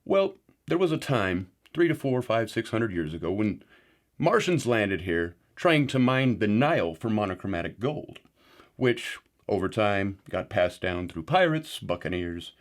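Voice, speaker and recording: Brief: a man doing a swooping, low-voiced huckster selling gold, earnest and rambling. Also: big pitch swings, a little slow. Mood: salesy. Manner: salesy, rambling, earnest pitch. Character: shady male gold-selling pitchman uncle.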